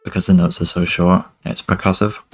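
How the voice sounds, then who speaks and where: A young man giving a relaxed music production tutorial at his desk, talking loosely with lots of fillers. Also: deep voice, brisk, vocal fry. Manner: relaxed, many fillers like "kind of" and "basically". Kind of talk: casual screen-recorded tutorial.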